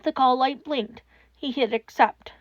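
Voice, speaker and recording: A woman reading a fan fiction story aloud in expressive scripted narration. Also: sits high, measured pace, no echo, highs cut off.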